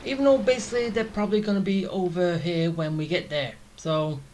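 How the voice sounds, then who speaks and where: A man's voice: high voice, steady tone, brisk, darkish tone, a little hiss or hum, low-quality recording. A man giving casual gameplay commentary as a let's play host, thinking aloud informally. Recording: game music under commentary.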